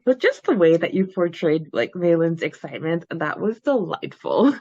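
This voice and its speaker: A woman's voice: fairly low pitch, very animated, moderate pace, darkish tone, slightly rough, no echo, lo-fi sound. A woman co-hosting a podcast, chatting casually and conversationally about a book, with a giggly manner. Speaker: podcast co-host. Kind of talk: casual book discussion chat.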